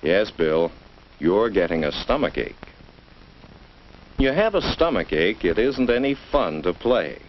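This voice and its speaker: A man with an American accent narrating a 1950s instructional film in a measured way, as if speaking directly to a child. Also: low voice, very animated. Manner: measured, addresses the child directly with rhetorical questions. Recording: dull, noisy vintage educational film soundtrack.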